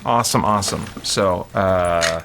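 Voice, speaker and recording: A man's voice: deep voice, measured pace, creaky, very noisy. A man chatting casually and jokily as the easygoing host of a gaming livestream.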